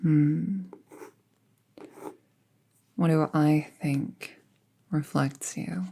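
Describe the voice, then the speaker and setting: A young woman playing a friendly apprentice witch in an intimate roleplay audio, soft-spoken and musing, hesitant, often slipping toward a whisper. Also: low voice, fairly even intonation, drawn-out words, creaky, heavily acted. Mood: musing, casual.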